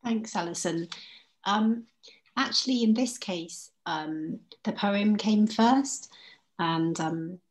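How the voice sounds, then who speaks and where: A woman's voice: mid-range voice, slow pace, low-quality recording. A woman poet on a video call, talking informally and reflectively as she answers questions. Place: indoors at home, on a group video call.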